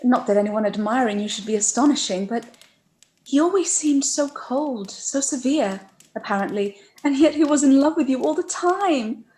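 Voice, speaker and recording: A gentle, kind-hearted elder sister, played by a woman in a radio play. She speaks softly and earnestly. Her voice sits high. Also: expressive, moderate pace. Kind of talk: live-read radio play.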